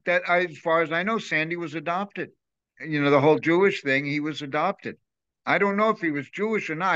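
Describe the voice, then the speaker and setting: An older man with a bright, forceful voice pitched a little high, talking freely as an interview guest. He rambles warmly and with enthusiasm. The sound is dull and lo-fi, like a laptop mic on a video call. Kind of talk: casual interview chat. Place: home room, video call.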